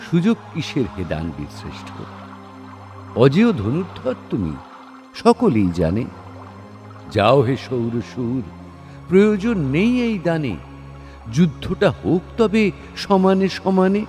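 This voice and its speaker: A man's voice: on the high side, sing-song, a little slow, long pauses, dark tone. A man voicing a lofty, persuasive king of the gods in a grand, declamatory recitation of an epic verse drama. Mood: grand, persuading. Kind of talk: dramatic Bengali poetry recitation with dialogue. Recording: studio voice over background music. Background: background music.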